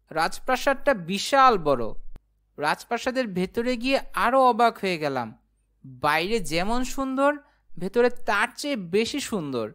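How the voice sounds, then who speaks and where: A woman's voice: on the high side, fairly bright tone. A woman voicing a timid, naive, polite young man who tells his own cartoon story in a meek, earnest way. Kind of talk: first-person cartoon storytelling and dialogue.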